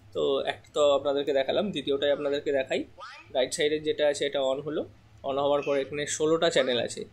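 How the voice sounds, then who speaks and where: A man giving a casual product demonstration as a seller, explaining step by step with frequent hesitant pauses. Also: a little high, slightly rough.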